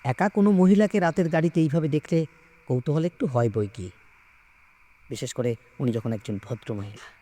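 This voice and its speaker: A man reading a story aloud in a measured, expressive, suspenseful way with dramatic pauses. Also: fairly high pitch. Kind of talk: dramatic scripted story narration.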